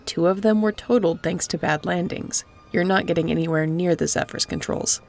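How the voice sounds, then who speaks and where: A woman playing a guarded, wry spaceship captain in an intimate sci-fi audio roleplay, with a gravelly voice and dry, teasing, conversational delivery. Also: lowish voice, brisk, soft background music. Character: guarded, wry female spaceship pilot captain, softening toward an old friend.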